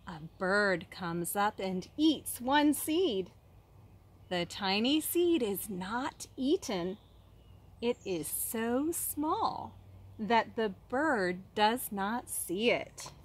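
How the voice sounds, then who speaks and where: Lady teacher reading a kids book out loud, really slow, kinda high voice, expressive w/ pauses. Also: husky. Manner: expressive, dramatic pauses, questions to young listeners. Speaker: kindergarten teacher reading aloud. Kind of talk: children's picture book read-aloud.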